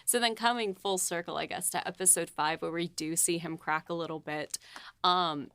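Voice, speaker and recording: Soft-spoken thoughtful woman writer. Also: lowish voice, steady tone, moderate pace, clean tone, no echo. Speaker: podcast co-host and writer. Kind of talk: casual behind-the-scenes chat.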